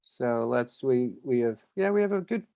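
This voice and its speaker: Gravelly man asking loose questions. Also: mid-range voice, lively intonation, normal speed, mellow. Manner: loose, fills with "right?" and "yeah". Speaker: interviewer. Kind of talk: casual interview questions.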